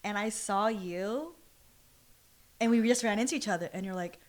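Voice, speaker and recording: She is a young woman, speaking at an average female pitch. Her delivery is casual and animated, full of slang and prone to giggles, like someone confiding a story to friends. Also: moderate pace. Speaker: podcast guest. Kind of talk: casual chat, personal storytelling.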